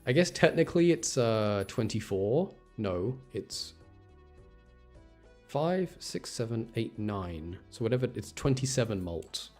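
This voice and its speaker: Man, very soft dark timbre, fairly deep, casual thinking-aloud game commentary, quiet music behind. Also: big pitch swings, measured pace. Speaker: game streamer.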